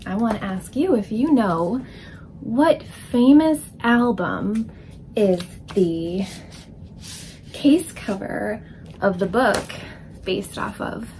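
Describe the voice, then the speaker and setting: A woman in her forties chats casually to camera about her work as an illustrator. Her voice sits at a middle pitch. There is faint noise in the room behind her. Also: takes it slowly, pauses often, darkish tone, poor audio. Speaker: children's book illustrator and author. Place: indoor room full of books.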